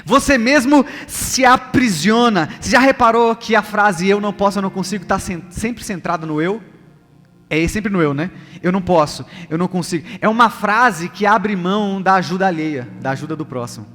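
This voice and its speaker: A man's voice: sits high, normal speed, a bit bright. A male pastor with a Brazilian accent preaching a sermon to a congregation, emphatic and repetitive, putting rhetorical questions to his listeners. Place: large church hall, preaching live. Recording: long hall reverb, music underneath.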